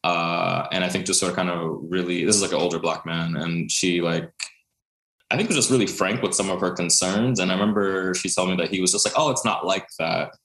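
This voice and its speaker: Deep-voiced Brooklyn man in an echoey room. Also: fairly quick, fairly bright tone. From Brooklyn, New York. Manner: chatty, many fillers, laughs often. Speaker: guest, contemporary dancer. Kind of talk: casual interview storytelling.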